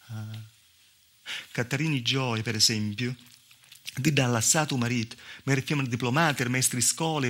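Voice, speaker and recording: A man's voice: medium pitch, vocal fry, bright, forceful tone. A male stage actor playing a weary, mistreated southern Italian village wife, telling the story in a colloquial, confiding monologue with a Calabrian accent. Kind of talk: theatrical monologue in dialect.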